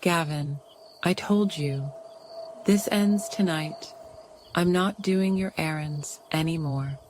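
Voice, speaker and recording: A woman playing a frightened but resolute heroine in a noir radio drama, speaking in short, halting dramatic phrases. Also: low voice, darkish tone, a little hiss or hum.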